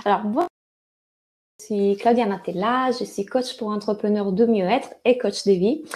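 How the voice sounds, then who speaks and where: A woman's voice: medium pitch, normal speed, darkish tone. A woman from Italy with an Italian accent, presenting a live webinar as a life and business coach, hesitating with frequent fillers and restarts.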